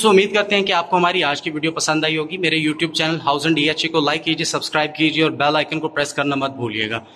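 Urdu-accented male host, slightly high voice, house tour patter, faint room noise. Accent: Pakistani Urdu. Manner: steady salesman patter, repeating stock phrases. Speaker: real estate YouTuber giving a house tour. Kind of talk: walkthrough narration. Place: inside an empty new house.

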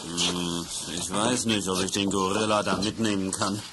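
An actor playing a fussy, rule-bound bus conductor, talking in a pedantic, doubtful way. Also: male voice, sits low, little pitch movement, normal speed, heavy background noise, close to an everyday voice.

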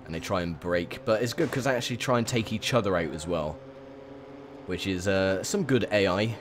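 A young man with a croaky, sore voice, chatting casually through gameplay commentary with lots of ums. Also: low voice, moderate pace, noisy background. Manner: chatty, rambling with many ums. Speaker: gaming video host.

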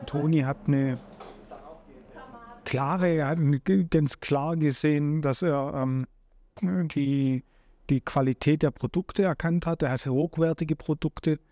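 A man, a food journalist, speaking haltingly and searching for words in a documentary interview. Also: on the high side, fairly even intonation, mellow.

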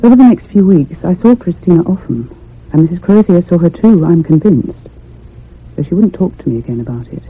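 A man playing a troubled, sensitive narrator in a radio ghost story, speaking in measured, earnest, literary phrases. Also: high voice, animated, husky, heavily acted. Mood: uneasy, sorrowful. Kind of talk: dramatic radio horror narration. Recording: tinny, narrow old radio recording with hum.

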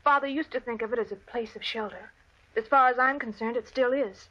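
A woman's voice: on the high side, a little fast, close to an everyday voice. A woman playing an earnest, resolute young daughter of a slain preacher, with an American accent, in an old radio western. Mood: firm. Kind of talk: 1940s-50s radio western acting. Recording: dull vintage radio recording.

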